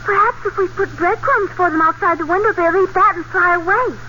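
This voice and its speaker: A dull, faintly noisy old radio recording carries a polite little schoolgirl. The voice is extremely high and earnest, in the style of vintage radio drama. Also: a little fast, pushed and bright. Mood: earnest, hopeful.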